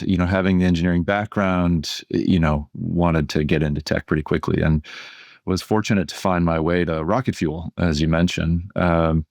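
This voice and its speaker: Very deep-voiced man in conversation. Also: darkish tone, no echo. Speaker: finance executive, podcast guest. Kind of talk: conversational interview answers.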